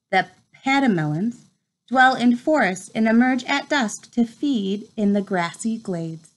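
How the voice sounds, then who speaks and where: A woman in her thirties reading a children's nature book aloud in an expressive storytime manner, recorded at home. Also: fairly high pitch. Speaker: read-aloud host. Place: small room at home, indoors.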